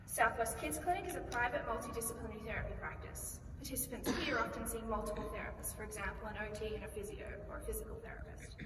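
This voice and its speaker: An Australian woman delivers a rehearsed pitch in a clear, measured voice that sits a little high. She sounds far from the microphone, and a long echo from a big hall trails after her words. Plenty of background noise runs under everything. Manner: clear, measured presentation delivery with rhetorical questions. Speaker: company founder pitching. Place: large conference hall. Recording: echoey hall recorded from far off, noisy.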